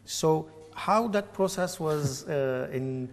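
A man's voice: on the high side, slow pace, in a large hall, soft background music. Hesitant male host. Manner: hesitant, many fillers and restarts. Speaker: TV interview host. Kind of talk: studio interview. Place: TV studio. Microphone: lapel.

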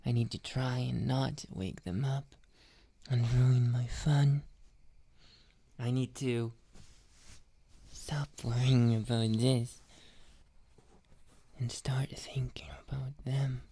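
Slow, whispery neko boy, voiced by a woman. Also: very deep voice, steady tone, mellow, heavily acted. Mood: yearning, whispering. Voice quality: breathy whispering. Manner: slow, lingering, obsessively affectionate. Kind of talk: ASMR roleplay, soft and whispery.

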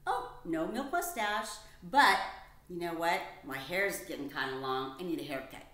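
A woman's voice: on the low side, animated, normal speed, sharp tone. A woman voicing a polite, particular little male mouse in a children's story, chatty and eager. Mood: fussy. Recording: roomy echo.

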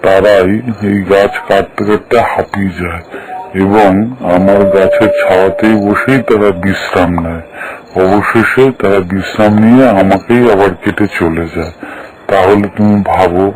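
A man voicing a grumpy, sorrowful talking tree in a cartoon story, with a weary, measured way of talking. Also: low-pitched, steady tone, crowd noise, natural voice, rough recording. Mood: sorrowful, confiding. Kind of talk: scripted cartoon story acting.

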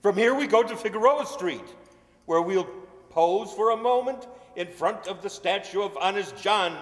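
A man's voice: high-pitched, normal speed, natural voice. An older man playing a pushy, talkative bus tour guide, declaiming his patter in old-time radio comedy style. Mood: indignant, insistent. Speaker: amateur radio-play actor. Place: large indoor stage hall, stand mic. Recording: reverberant hall, stage microphone.

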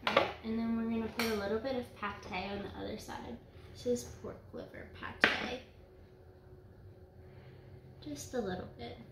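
A young woman from South Louisiana hosting a home cooking show, explaining each step calmly and then narrating some food history. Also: on the high side, normal speed, pauses often, heavy background noise. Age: young adult. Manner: calm, instructional. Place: home kitchen, indoors. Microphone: camera mic, across the kitchen. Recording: echoey kitchen room sound.

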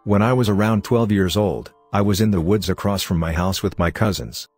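A man reading online horror stories aloud as a scripted narration, in a low, steady storytelling delivery. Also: a little fast, husky. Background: faint music.

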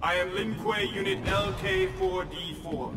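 An obedient male cyborg assassin from a video game, announcing orders in clipped, formal phrases. The robotic voice is distant and reverberant, with loud music behind it. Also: sits high, huge pitch swings, unhurried, full character voice. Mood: flat, commanding. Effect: robotic voice processing.

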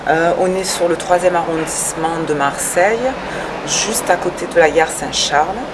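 A woman in her thirties explaining her project in a conversational interview, pausing often between short phrases. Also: on the low side. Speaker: restaurant owner. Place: restaurant terrace, dining room and working kitchen. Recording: distant, echoey, loud background noise.

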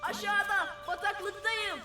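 A performer voicing a brave, curious young boy in an animated adventure, speaking earnestly and expressively. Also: very high voice, slow pace, soft background music, heavily acted. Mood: relieved, earnest. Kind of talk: animated children's adventure cartoon acting.

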